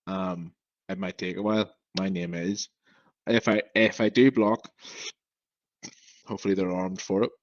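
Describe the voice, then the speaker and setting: A man's voice: sits low, fairly even intonation, a little slow, long pauses, dry recording, highs cut off. A young man from Northern Ireland, a podcast guest who stammers, talking bluntly and frankly. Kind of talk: casual interview talk.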